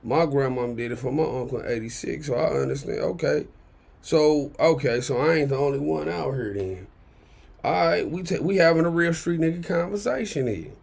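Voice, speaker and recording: A man with a raspy baritone ranting in casual commentary, blunt and profane, driving points home with repeated "okay?". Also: moderate pace, a little mellow. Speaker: commentary channel host.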